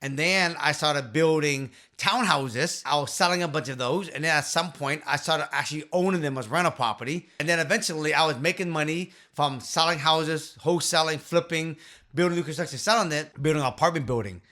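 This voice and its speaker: A man in his fifties telling his story to the camera in a small home office, earnest and emphatic, into a boom-arm studio mic. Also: fairly quick, sharp tone. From Vietnam. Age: 50s. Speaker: real estate investor and channel host.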